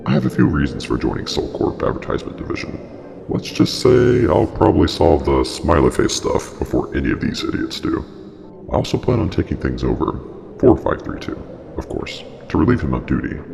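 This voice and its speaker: An actor playing a smug, scheming non-human service unit with a processed, creaky low voice, talking self-importantly in a mock interview. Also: male voice, big pitch swings, brisk, a little mellow, full character voice. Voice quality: very deep, creaky, breaking. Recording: long reverb, faint music.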